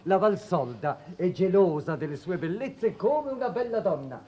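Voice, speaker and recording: An actor playing a genteel, gallant and gently poetic marquis in a 1950s Italian period drama, on an old soundtrack. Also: male voice, high voice, lively intonation, normal speed. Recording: dull old television soundtrack.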